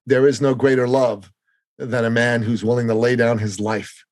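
A man's voice: mid-range voice, level tone, moderate pace, no room sound, studio quality. Emphatic male podcast host from Canada. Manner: emphatic, repeats phrases for effect. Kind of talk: impassioned political podcast talk.

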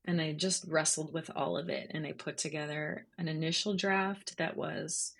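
A woman with a low voice and a husky edge speaks as a guest in an interview. She explains her work in a conversational, thoughtful way, often searching for the right word as she goes. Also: steady tone, normal speed, a bit bright. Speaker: journalist guest. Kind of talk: conversational interview, explaining their writing process.

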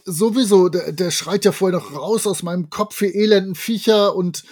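High-pitched, husky man podcasting. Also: normal speed, a little mellow. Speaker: podcast co-host. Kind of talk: casual discussion and retelling of an audio drama.

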